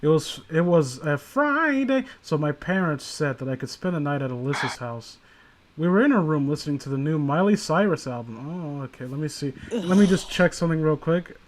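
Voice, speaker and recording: A man's voice: on the high side, animated, brisk, slight background noise. A man hosting a comedic read-along, reading a story aloud and riffing on it irreverently, prone to breaking into laughter. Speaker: host reading a creepypasta aloud with friends.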